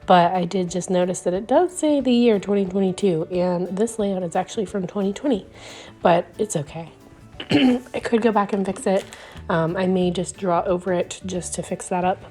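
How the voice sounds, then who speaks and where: A woman hosting a scrapbooking video, talking casually over her crafting with a hoarse voice that is giving out from illness and lots of ums and little laughs. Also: fairly low pitch, mellow. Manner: rambling, chatty, frequent ums and little laughs.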